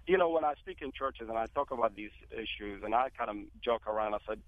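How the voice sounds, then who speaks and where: A man's voice: lowish voice, fast talker, no echo. A man from Iraq, a guest on a political talk radio show, speaking earnestly with many fillers and repeated words. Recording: tinny phone line, dry.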